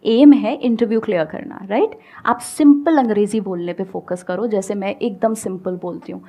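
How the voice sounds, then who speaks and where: Calm woman giving stage advice. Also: mid-range voice. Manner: calm, unhurried, mixes English phrases into Hindi. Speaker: guest speaker. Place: stage before a live audience.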